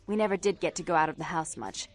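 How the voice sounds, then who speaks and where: An actress playing a stern, controlling vampire sister in a video game, prim and wounded, with formal, clipped, accusatory delivery. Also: female voice, on the low side, animated, fast talker, soft background music, natural voice. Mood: wistful. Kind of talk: dramatic video game voice acting.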